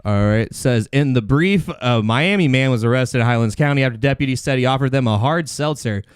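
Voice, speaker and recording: A man's voice: mid-range voice, a little fast, clear, resonant tone, dry recording, clean studio sound. A man hosting a casual chat, riffing in slangy language and laughing often as he talks. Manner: laughs often while talking; slangy, says dude and like a lot. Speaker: podcast host.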